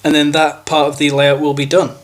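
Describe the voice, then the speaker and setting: A young man talks in a casual, rambling way, thinking aloud with plenty of fillers and restarts. His voice sits a little high and sounds stuffy and bunged up from a cold. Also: monotone, a little fast. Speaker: model railway hobbyist. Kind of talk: casual show-and-tell walkthrough.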